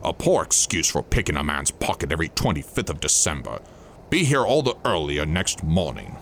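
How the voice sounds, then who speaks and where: A man acts out a sour old male miser from a storybook. The voice sits extremely low and crackles with gravel. He swings in pitch as he scorns and dismisses, with faint noise behind him. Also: sing-song, brisk, exaggerated voice. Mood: grudging. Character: bitter, indignant, miserly old male sinner. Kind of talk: storybook character reading.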